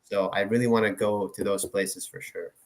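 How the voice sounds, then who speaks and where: A man's voice: lowish voice, steady tone, normal speed. A young man from Finland, a guest in a casual chat, rambling with many fillers and swearing. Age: young adult.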